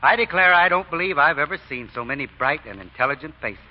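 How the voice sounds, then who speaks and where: A man's voice: on the high side, lively intonation, quick pace. A man playing a sly, folksy fake deacon in 1940s radio acting, drawling homespun sayings. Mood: orating. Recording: dull lo-fi old radio broadcast.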